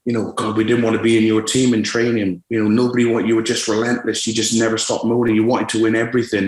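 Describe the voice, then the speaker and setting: A man's voice: mid-range voice, reverberant, poor audio. Scottish-born man with a West Country accent. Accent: West Country English. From Scotland. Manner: frank, reflective, many restarts and fillers. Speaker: football manager guest. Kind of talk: candid interview.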